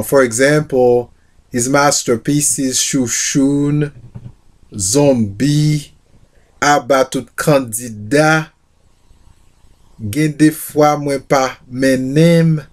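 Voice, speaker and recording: A middle-aged man who introduces a poetry collection and reads a poem aloud, speaking haltingly with many fillers. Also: medium pitch, a little mellow. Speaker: literature teacher.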